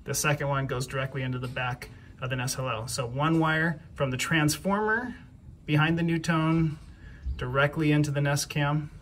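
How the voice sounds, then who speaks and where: A man giving a casual hands-on DIY tutorial in a halting way, repeating words as he explains, recorded outdoors. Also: fairly high pitch, fairly bright tone. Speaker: DIY homeowner. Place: outdoors by a house front door. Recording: clear background noise, outdoor ambience.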